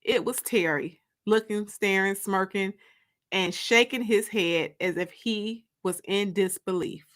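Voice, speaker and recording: A woman narrating a first-person story aloud in an expressive, confiding, emphatic way. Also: mid-range voice, unhurried.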